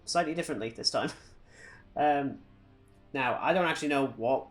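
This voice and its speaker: A man speaks as a podcast co-host in a casual, conversational style. His voice sits at a medium pitch, and he gives his opinions bluntly. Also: normal speed, faint music behind. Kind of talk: casual film and game chat.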